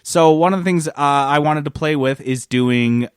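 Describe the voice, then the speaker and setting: A man's voice: fairly high pitch, normal speed, smooth, dry recording, clean studio sound. A man co-hosting a podcast with informal, casual gaming chat. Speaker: podcast co-host.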